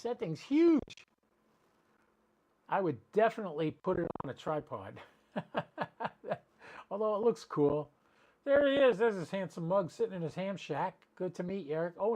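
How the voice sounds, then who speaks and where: A man chatting casually and rambling as he hosts an amateur radio livestream, talking directly to his viewers. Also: sits high.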